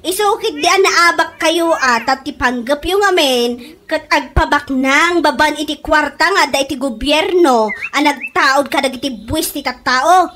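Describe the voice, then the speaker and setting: An indignant, scolding woman, played by a woman in an Ilocano comedy radio play. Her voice is extremely high, with an Ilocano accent, over a faintly noisy track. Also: a little slow, a bit bright. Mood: scolding, mocking. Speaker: radio drama actor.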